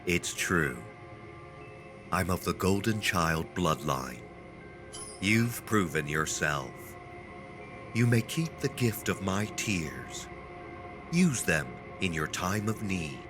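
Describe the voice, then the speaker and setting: An actor playing a solemn mystical guardian of sacred grounds in a video game, speaking gravely and ceremoniously. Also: male voice, deep voice, expressive, slow pace, long pauses, over music. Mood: solemn, benevolent. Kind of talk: dramatic video game acting.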